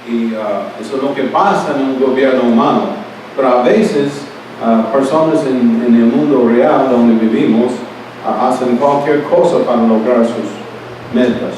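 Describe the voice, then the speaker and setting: A male pastor reading scripture, praying and preaching in a halting way, often restarting his words because Spanish is his second language, at a morning church service. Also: mid-range voice. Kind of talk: sermon with scripture reading and prayer. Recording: echoey room, mic far off, steady background noise.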